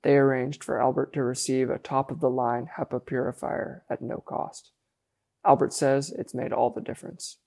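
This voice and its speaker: A man reading scripted news narration as a reporter, in a measured delivery. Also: mid-range voice, level tone, gravelly, a little mellow.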